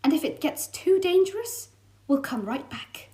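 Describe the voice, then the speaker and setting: Woman doing a plucky young boy cat, high voice, lively and insistent, storybook. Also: normal speed. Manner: eager, insistent. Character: young male pet cat, bold and adventurous.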